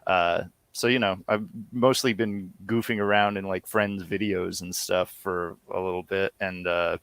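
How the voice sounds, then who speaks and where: A man's voice: deep voice, fairly even intonation, measured pace, a bit bright, clean tone, no echo. A man chatting casually as an interview guest in a hesitant, modest manner. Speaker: interview guest, audio series co-creator. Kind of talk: casual chat. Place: remote online call from home.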